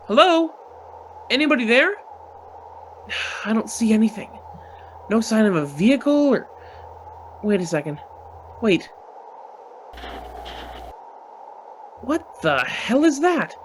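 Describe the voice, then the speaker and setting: An easygoing, bold driver in a radio play, acted by a man, talks in a folksy, offhand way. The pitch moves around a lot, over faint vehicle noise. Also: big pitch swings, measured pace, pauses often, fairly bright tone, heavily acted. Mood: searching, uneasy.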